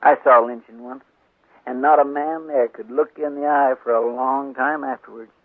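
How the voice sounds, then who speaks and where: An actor playing an earnest, deferential cowboy deputy with a folksy in a 1950s radio western. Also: male voice, mid-range voice, fairly even intonation, moderate pace, dry recording, close to an everyday voice. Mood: thoughtful. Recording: muffled old radio broadcast.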